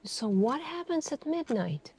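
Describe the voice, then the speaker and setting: A woman doing an ASMR roleplay as a suspicious female detective, questioning in a deliberate, pointed way with many pauses. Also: high voice, big pitch swings, darkish tone, slight background noise. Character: calm, suspicious, probing female police detective. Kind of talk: ASMR interrogation roleplay, slow deliberate delivery.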